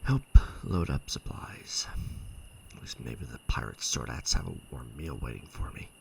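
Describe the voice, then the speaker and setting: A man playing a proud, sullen young captive mech pilot in a sci-fi ASMR roleplay, hushed and gravelly, earnest but grudging. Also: rumbling low, animated, normal speed, a little hiss or hum, full character voice. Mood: grumbling. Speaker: voice actor in an audio roleplay.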